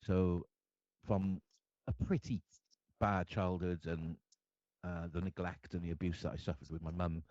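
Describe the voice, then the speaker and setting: A very low, mellow-voiced man with a Cardiff accent speaks earnestly, stopping and restarting his sentences as he goes. The sound is dull and lo-fi, dry with no room around it. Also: steady tone, no room sound. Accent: Welsh, Cardiff. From Wales. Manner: earnest, halting, many restarts. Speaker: guest artist. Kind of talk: candid personal storytelling.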